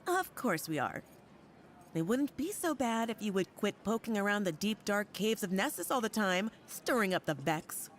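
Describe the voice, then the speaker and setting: A woman acting a breezy, teasing, loyal fighter in a sci-fi audio drama, speaking in a casual, slangy, expressive way. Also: mid-range voice, normal speed, slight background noise, natural voice. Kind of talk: naturalistic audio drama dialogue.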